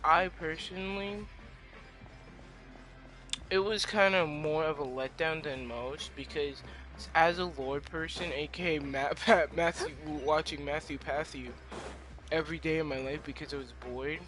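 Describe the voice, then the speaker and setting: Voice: mid-range voice, measured pace, a bit bright, rough recording. A teenager chatting casually while commenting over video game play. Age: teens. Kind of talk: casual chat over gameplay. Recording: faint game music behind.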